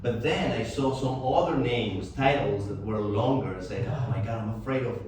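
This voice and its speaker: A man's voice: medium pitch, normal speed. Forties man from Colombia, native Spanish speaker, playful teacher; far-off mic in a noisy, echoey classroom. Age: 40s. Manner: joking, lively, asks students questions. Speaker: English teacher. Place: language school classroom.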